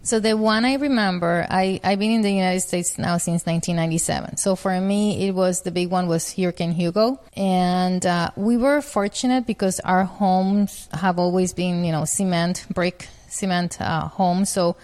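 A woman's voice: lowish voice, steady tone, normal speed, few pauses, darkish tone. A middle-aged woman from Puerto Rico, a physician guest answering interview questions, laughing easily and repeating words as she thinks.

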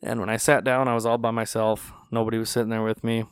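A man's voice: lowish voice, fast, clear, resonant tone, dry recording. A man from North Dakota hosting a podcast, telling stories in casual chat and acting out the people he quotes. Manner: animated, acts out quoted voices. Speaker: podcast host. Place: small studio. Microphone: studio podcast mic.

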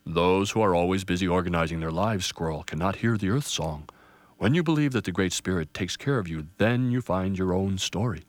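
Wise grandfather pine, lowered and booming, unhurried, as a man reads. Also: no room sound, lightly acted. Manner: slow, solemn, booming. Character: wise old male ponderosa pine elder.

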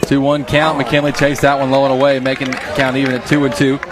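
A man doing play-by-play baseball radio commentary, excited on big plays and chatty between pitches, his voice a little strained. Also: a little fast. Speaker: play-by-play announcer. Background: crowd.

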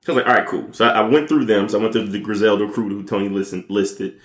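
A man's voice: on the low side, quick pace. An American man casually talking music as a podcast host, telling it in slangy, profane language. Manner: slangy, profane, animated storytelling. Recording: roomy, echoey room.

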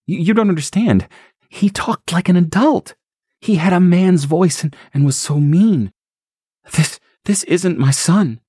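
A panicked young mother cries out in a high, strained voice that leaps all over the place, as a man puts her on in a storybook reading. The sound is close and dry, with no room around it. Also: sing-song, a little slow, hardly any pauses, no echo, heavily acted. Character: frantic terrified young mother, woman.

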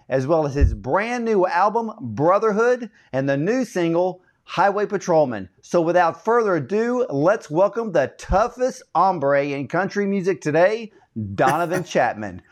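A man hosting a wellness podcast, introducing a guest with upbeat, emphatic delivery and religious phrasing. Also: sits high. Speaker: podcast host. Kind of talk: interview hosting.

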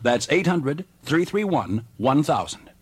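A male commercial announcer with a somewhat high voice. He delivers scripted radio advertising copy. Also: sing-song, a little slow, husky.

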